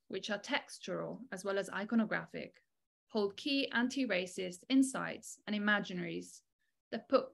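A woman in her thirties reading a formal academic lecture in a measured, articulate way over a video call. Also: mid-range voice, vocal fry. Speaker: academic keynote speaker. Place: small indoor room, online video call. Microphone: video call microphone.

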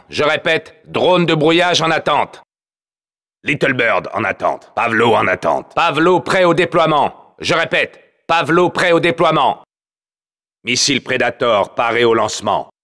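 A man's voice: on the high side, sharp tone. An actor playing a commanding, battle-hardened male soldier who announces a multiplayer game in terse, clipped, authoritative callouts.

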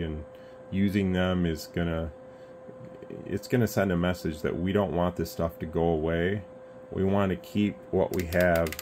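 Man, very deep voice, conversational and earnest reviewer, audible background noise. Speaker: YouTube radio reviewer. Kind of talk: casual rambling opinion talk to camera. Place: indoor radio workbench room. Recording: clear steady background room noise.

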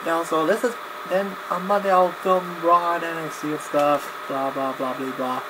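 A young man talking casually to camera in a halting, rambling vlog style, often backtracking and repeating himself. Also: fairly high pitch, steady tone. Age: young adult. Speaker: video blogger. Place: small cluttered home room, indoors. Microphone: webcam at desk distance. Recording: clear background noise, webcam-grade audio.

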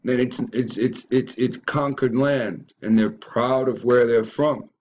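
A man's voice: mid-range voice, steady tone, measured pace, a little mellow, dull audio. A man giving a ranting reaction commentary on a video, stumbling and repeating words as he goes.

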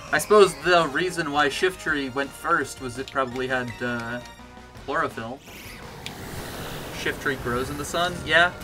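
A man streaming a game with a bass voice that still sounds bright and forceful, talking casually at a home desk mic. He gets excited and begs the game to go his way. Soft game music plays underneath. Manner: chatty, easily excitable, pleads with the game. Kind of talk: casual gameplay commentary. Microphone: desk mic with webcam.

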